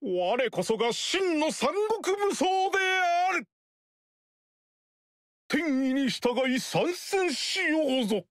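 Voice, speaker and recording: A man's voice: very high voice, sing-song, slow pace, no room sound, studio quality, heavily acted. An actor playing a fanatical older male sorcerer who bellows heaven-invoking battle cries in a game. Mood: zealous. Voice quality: bellowing, sermon-like proclamations. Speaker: game character.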